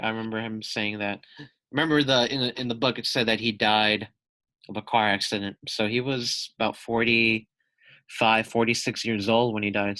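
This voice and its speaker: A man's voice: on the low side, bright, forceful tone, no room sound, poor audio. A man giving a read-aloud lesson for young children as their teacher, explaining step by step, posing questions and repeating key points.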